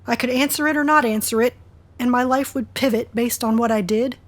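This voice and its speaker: A woman's voice: on the high side, fairly quick, natural voice. A woman acting in an audio drama as a defiant, sarcastic woman with a teasing, flirty streak. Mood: wry, guarded. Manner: sardonic, teasing.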